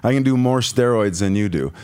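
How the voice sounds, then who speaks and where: A man's voice: mid-range voice, a little fast, darkish tone, smooth. A man on a sports talk panel arguing casually in a blunt, colloquial, opinionated way.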